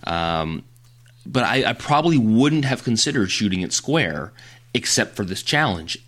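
A man's voice: fairly low pitch, very animated, a little slow, a bit bright. A man co-hosting a photography podcast, chatting casually and teasing his partner, with lots of fillers and restarts. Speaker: podcast co-host. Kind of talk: casual chat.

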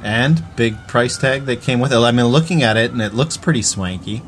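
A man's voice: mid-range voice, brisk. A man chatting casually as a podcast co-host, with a dry, laconic, teasing manner. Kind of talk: casual chat. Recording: clear background noise.

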